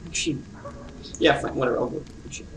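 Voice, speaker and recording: A man's voice: fast talker, noisy background. Casual male gamer, slightly distant mic. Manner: informal, reactive asides. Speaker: let's play gamer. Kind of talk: casual gameplay commentary. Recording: slightly distant mic, game music behind.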